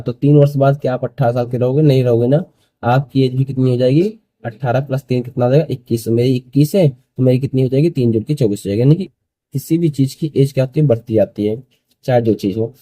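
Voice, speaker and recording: A young man in his early twenties with a very dark, soft-edged tone and a close, dry sound. He teaches maths step by step at a medium pitch. He repeats key phrases and keeps posing questions, then answers them himself. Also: fast, no echo. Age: early 20s. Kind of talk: step-by-step school maths lesson.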